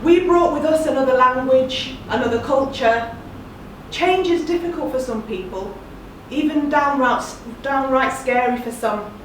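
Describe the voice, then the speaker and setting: A woman's voice: high-pitched, a little hiss or hum. Earnest woman in an echoing room. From England. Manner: measured and earnest. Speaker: spoken-word performer. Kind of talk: personal spoken-word monologue. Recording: very echoey room, distant mic.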